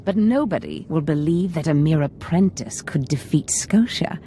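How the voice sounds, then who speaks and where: Low-voiced scheming Sith master. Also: female voice, expressive, normal speed, mellow, slight background noise. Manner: theatrical, calculating, imperious. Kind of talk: video game dramatic acting.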